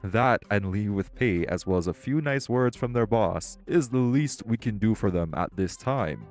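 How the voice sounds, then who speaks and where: A man playing a composed, self-assured tech company boss in an audio roleplay, speaking in a polished, measured, articulate way. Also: fairly low pitch, animated, darkish tone, soft background music. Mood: brisk, businesslike. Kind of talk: audio roleplay acting, one-sided dialogue.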